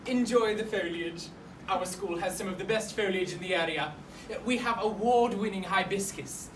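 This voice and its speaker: A woman's voice: medium pitch, moderate pace, lightly acted. A woman playing a pompous, awkward boarding school headmaster in a comic monologue, with fussy, rambling formal politeness. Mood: fussily genial. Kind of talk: comic monologue in a speech competition performance. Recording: roomy, distant mic, clear background noise.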